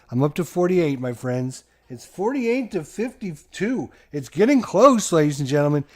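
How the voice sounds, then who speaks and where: Middle-aged male host, board game channel; energetic announcer-style commentary on his own play. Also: fairly high pitch. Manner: hyped, sports-announcer style play-by-play to viewers.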